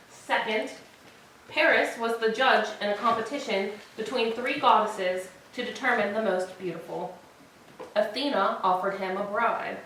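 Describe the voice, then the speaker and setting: A woman playing a beautiful, defiant captive queen in a staged tragedy reading, arguing in measured, formal speech. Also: mid-range voice, fairly bright tone, lightly acted. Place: church, live staged reading. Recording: echoey church, distant mic, faint noise.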